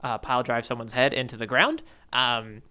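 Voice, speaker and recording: A man's voice: mid-range voice, fairly even intonation, normal speed, sharp tone, clear, resonant tone. A man co-hosting a podcast, casually discussing in a musing, playful way and often checking in with "right?". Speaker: podcast co-host.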